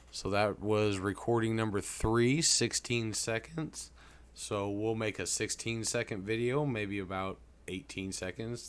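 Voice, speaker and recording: A man presenting a casual software tutorial in a folksy, informal way. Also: lowish voice. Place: indoors at a computer. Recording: clear background noise.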